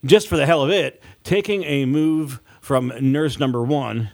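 A man's voice: mid-range voice, measured pace, darkish tone. A man reading a scripted audiobook story as its author and narrator.

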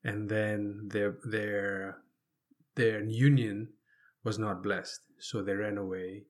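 A man from Fiji speaking as an interview guest, telling his life story conversationally and pausing often as he goes. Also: on the low side, little pitch movement.

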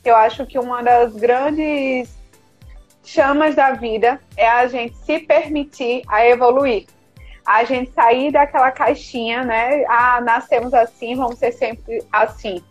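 Northeastern brazilian woman, kinda husky higher voice, earnest motivational guest, dull lo-fi call audio w faint music. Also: steady tone, slow. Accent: mixed northeastern Brazilian Portuguese. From Recife, northeastern Brazil. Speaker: podcast guest, mentor. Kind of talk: casual interview talk.

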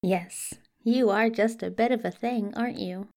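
A woman's voice: mid-range voice, a little slow, darkish tone, close to an everyday voice. A woman playing a prim, politely self-assured male questing hero in a podfic drama, speaking formally and exactly. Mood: prim, confident. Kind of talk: podfic audio drama acting.

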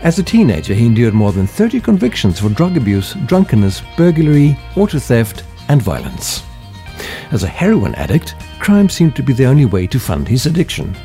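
A male talk show host reads his scripted introduction at an even, measured pace. His pitch rises and falls a lot, and his tone is mellow and soft. Faint music sits under his voice.

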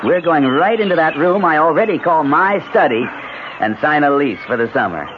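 An actor playing a curious, decisive city husband with a hearty, emphatic manner in a 1970s radio drama. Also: male voice, fairly high pitch, expressive, moderate pace, close to an everyday voice. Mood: hearty, interested. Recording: noisy, thin, narrow-band 1970s radio broadcast.

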